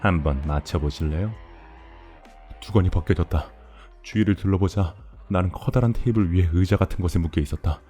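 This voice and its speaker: A man's voice: sits low, fast talker, mellow. A man narrating a scripted first-person horror story for an audio drama. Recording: music behind the voice.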